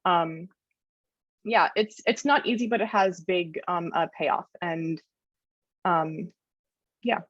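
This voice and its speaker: A woman with a somewhat low voice describing her working life, stuttering and filling pauses with "um" and "like". The recording is dry and dull, with no room sound. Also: steady tone, no room sound. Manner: stutters, many fillers like "um" and "like". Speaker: journalist and adjunct. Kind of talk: sharing experience at a panel.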